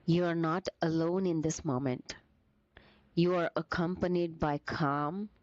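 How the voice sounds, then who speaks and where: A woman leading a guided meditation as a teacher, with gentle, soothing, deliberate phrasing broken by short pauses. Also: low-pitched, steady tone, no room sound, dull audio.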